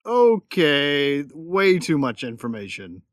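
A man's voice: on the high side, sing-song, slow, no room sound, studio quality. A man playing a tough young gunfighter and protective big brother in a sci-fi audio drama, matter-of-fact and wry. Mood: teasing, exasperated. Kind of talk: first-person narration and dialogue in sci-fi audio drama.

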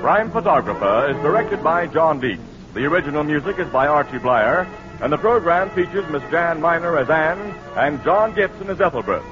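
American guy doing emphatic 40s radio announcing, high voice, music behind. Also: lively intonation, normal speed. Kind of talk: 1940s radio commercial and credits announcing. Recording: muffled vintage radio recording with music.

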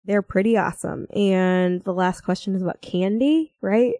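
A woman's voice: medium pitch, normal speed, warm tone, no echo. A young American woman from Minnesota in casual chat, rambling playfully with a giggly manner. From Minnesota, United States. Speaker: podcast co-host.

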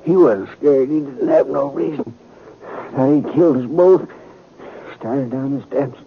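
A man's voice: mid-range voice, phone-quality sound, a crowd in the background, close to an everyday voice. Man acting a gasping, wounded frontier man. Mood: bitter, anguished. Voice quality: breathy, air-filled, halting voice. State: badly wounded, breathing hard. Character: wounded frontier robbery victim, bewildered and aggrieved, gasping. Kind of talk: 1950s Western radio drama acting. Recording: thin, noisy 1950s radio recording.

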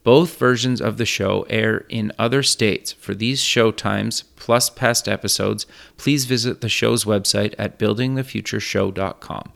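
Creaky-voiced male show host. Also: lowish voice, fairly even intonation, unhurried, a bit bright. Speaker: podcast and radio show host. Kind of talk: scripted show intro, then casual interview.

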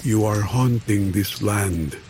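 An actor playing a grave, accusing watcher in a horror western audio drama, speaking solemnly and deliberately. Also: male voice, on the low side, noisy background. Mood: grave warning.

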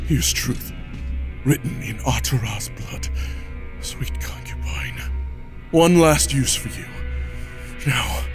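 A man's voice: mid-range voice, animated, slow pace, pauses often. An actor playing a proud, desperate tyrant king in an audio drama, imperious and theatrical. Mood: bitter, menacing. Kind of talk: horror-fantasy audio drama acting. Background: music.

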